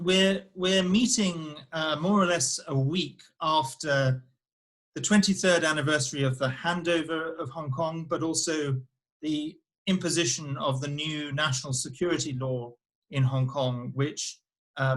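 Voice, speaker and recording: Higher-voiced man hosting an interview. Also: fairly bright tone. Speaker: interview host. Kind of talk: formal conversational interview.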